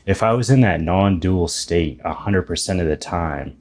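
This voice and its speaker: A man in his thirties with a very low, deep voice talks to his channel's viewers. He muses out loud about spiritual ideas, often starting a sentence over. His tone stays reflective and a little self-deprecating. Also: normal speed, slight background noise. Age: 30s. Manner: musing, self-deprecating, thinking aloud with restarts. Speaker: YouTuber.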